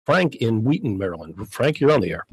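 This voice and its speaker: Husky male radio host. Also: medium pitch, very animated, brisk, low-quality recording. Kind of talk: conversational interviewing. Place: small radio studio. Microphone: close studio condenser mic.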